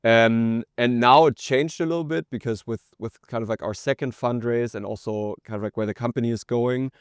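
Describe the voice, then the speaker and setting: A man's voice: medium pitch, steady tone, a little fast, smooth, no room sound, clean studio sound. A man speaking as a startup co-founder and interview guest, explaining things conversationally with circling, hedged phrasing.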